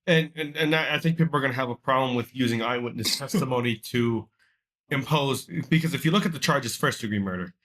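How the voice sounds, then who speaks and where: A man's voice: medium pitch, quick pace, a bit bright. A man co-hosting a casual talk show, answering in easygoing, agreeable short replies. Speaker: co-host. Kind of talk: casual chat.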